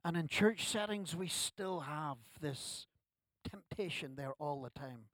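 A man's voice: a little high, unhurried, fairly bright tone, no room sound, clean studio sound. A man, preaching a sermon at a church service in a conversational way with self-deprecating asides. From Ireland. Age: 60s. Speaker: pastor.

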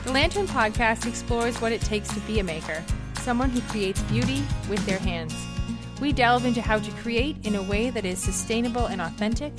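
A woman's voice: fairly high pitch, animated, a little fast. A woman hosting a podcast, reading an eager introduction and asking interview questions. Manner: enthusiastic, gushing introductions. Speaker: podcast host. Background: music bed.

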